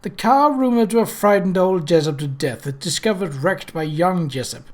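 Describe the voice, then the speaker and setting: A man's voice: high-pitched, expressive, normal speed, voice pushed up. A man puts on a sardonic country doctor for a storybook. He is brusque and commanding, stressing his old-fashioned phrases with emphatic swings.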